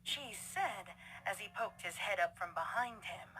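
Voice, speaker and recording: A woman's voice: mid-range voice, expressive, a little slow, sharp tone, poor audio. A woman reading scripted story narration as the narrator. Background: music.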